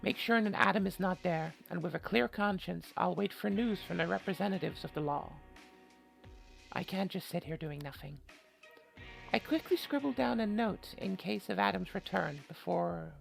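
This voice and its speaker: A player reads as an earnest, uneasy young woman telling her own mystery story in a visual novel. The voice is very creaky and gravelly, with faint music underneath. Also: medium pitch. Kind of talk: scripted first-person visual novel narration.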